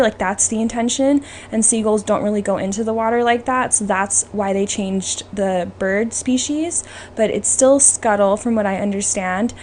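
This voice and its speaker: A woman hosts a casual podcast about films, talking in an informal, opinionated style. Her voice sits at an ordinary middle pitch. There is faint room noise behind her. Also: a little mellow. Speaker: podcast host. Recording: noisy room ambience behind the voice.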